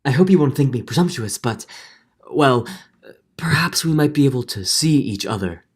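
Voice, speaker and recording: A man's voice: mid-range voice, lively intonation, normal speed, husky. A man playing a middle-aged judge, earnest and guilt-ridden, in a dramatic 1940s radio drama style with hesitant turns. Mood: hesitant, yearning. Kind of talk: 1940s radio drama acting with first-person narration.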